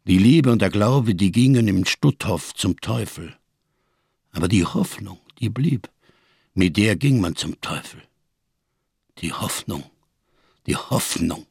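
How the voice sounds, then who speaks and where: A man's voice: fairly low pitch, dry recording, clean studio sound, heavily acted. An actor in a radio drama playing a scarred, hard-drinking survivor of the camps, solemn and rhetorical, bitterly defiant in his grief. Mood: mournful, bitter, then grim, brooding. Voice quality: gravelly, husky. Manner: solemn, rhetorical, swinging between hushed and impassioned.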